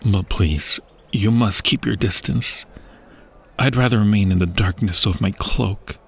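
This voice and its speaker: Guy doing a dying king husband in a fantasy asmr roleplay, husky n really slow, tender, war-weary. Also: lowish voice, pushed and bright, exaggerated voice. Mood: tender, longing. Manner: slow, tender, archaic phrasing.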